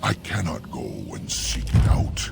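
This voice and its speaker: An actor voicing an ancient, courteous, mournful talking tree in a fantasy game, with a deep creaky voice and solemn, archaic rhyming verse. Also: male voice, unhurried, over loud music, lightly acted.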